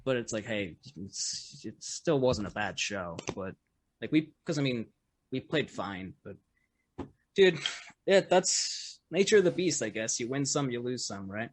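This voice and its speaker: A man's voice: medium pitch, animated, a little slow, a little hiss or hum. Rambling man telling a gig story. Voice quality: loud voice. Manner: rambling, lots of fillers and restarts, laughs often. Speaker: podcast guest, musician. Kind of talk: casual storytelling chat.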